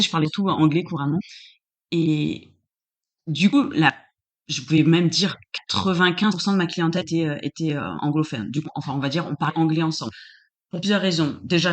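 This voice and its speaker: A woman working as a doula, answering interview questions casually with frequent restarts as she searches for words. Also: low voice.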